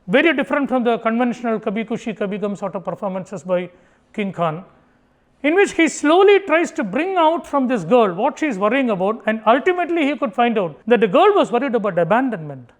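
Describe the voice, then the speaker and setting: A man's voice: extremely high pitch, fairly quick. A man from Kerala with an Indian English accent, giving a conversational public talk to an audience. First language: Tamil. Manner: animated, acts out family dialogue in Tamil. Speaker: speaker giving a motivational talk. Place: auditorium.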